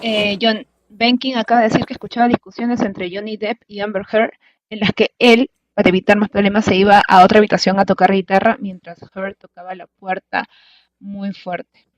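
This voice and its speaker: A woman's voice: mid-range voice, little pitch movement, fast, dry recording. A woman, a radio presenter recapping events in live commentary. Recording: dull, band-limited broadcast voice-over.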